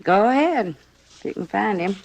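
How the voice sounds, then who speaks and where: An actress playing a blunt, surly middle-aged hotel manageress in a 1950s radio drama, speaking curtly in folksy, ungrammatical phrases. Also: female voice, medium pitch, moderate pace, creaky. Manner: curt, deadpan, ungrammatical folksy speech. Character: surly, blunt hotel manageress, unhappily married, self-reliant.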